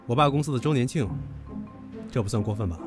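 A man's voice: mid-range voice, fast talker, lightly acted. An actor playing a brash, possessive, hot-tempered young man in an audio drama, goading and domineering. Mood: smug, goading. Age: young adult. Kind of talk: Chinese audio drama acting. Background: background music.

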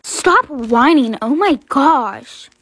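A woman as a spoiled, high-voiced stepsister. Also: expressive, very slow, a bit bright, no echo, heavily acted. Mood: bossy. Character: spoiled, bossy teenage stepsister girl.

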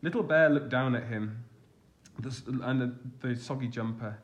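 A man reading a children's storybook aloud as a teacher would, expressive and deliberate, stressing the action words. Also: mid-range voice.

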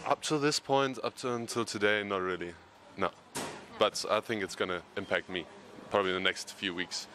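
A man's voice: lowish voice, fairly quick, bright, forceful tone, slight background noise. A young man from Germany, a student, answering thoughtfully into a handheld mic in an indoor hallway. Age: 20s. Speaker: student interviewee. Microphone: handheld interviewer mic.

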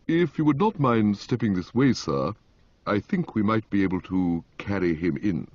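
A dignified male servant speaks low, slow and deferential, as a man reads him aloud. His voice is grainy and husky. The sound is muffled and dry, with no room around it. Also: lively intonation, darkish tone, no echo. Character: grave, dignified male valet, unflappable. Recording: dull lo-fi, dry.

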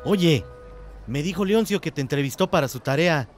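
An actor playing a scornful, controlling village husband in a radio drama, gruff and bossy. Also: male voice, a little high, animated, normal speed, lightly acted. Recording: music under the voice.

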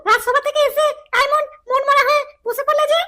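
Fretful, caring cartoon housewife: extremely high, exclamatory, fast, homely. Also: female voice, fairly even intonation, sharp tone, a little hiss or hum. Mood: fretful, concerned. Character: fretful, caring village housewife, wife of a jester. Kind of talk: comic cartoon acting.

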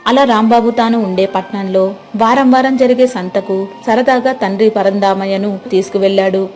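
A woman's voice: medium pitch, normal speed, darkish tone, faint music behind. A woman reading scripted narration as the narrator of a moral story.

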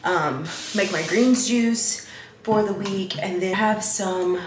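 A woman's voice: mid-range voice, takes it slowly. A young woman in her twenties chatting upbeat to the camera while she gets ready at home. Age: 20s. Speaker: lifestyle vlogger. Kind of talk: casual vlog chat to camera. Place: apartment kitchen and bathroom. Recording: echoey room with background noise.

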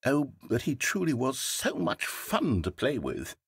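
A wry, wistful British game narrator. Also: male voice, medium pitch, huge pitch swings, a little slow, breathy edge, dry recording, clean studio sound, exaggerated voice. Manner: wry, theatrical, swinging between wistful and sarcastic. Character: wistful, needy male narrator voice, self-absorbed. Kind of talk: scripted video game narration.

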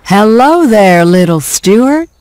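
A gracious flower-stall lady from a video game. She speaks gently and kindly at a medium pitch, recorded close and dry. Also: very animated, slow, no echo. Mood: kindly, grateful. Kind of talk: scripted game cutscene dialogue.

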